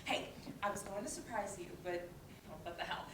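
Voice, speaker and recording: A woman's voice: medium pitch, animated, brisk, natural voice. A woman playing a breezy, affectionate older sister in a play reading, chatty and natural. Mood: playful, nostalgic. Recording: roomy, distant mic, noisy background.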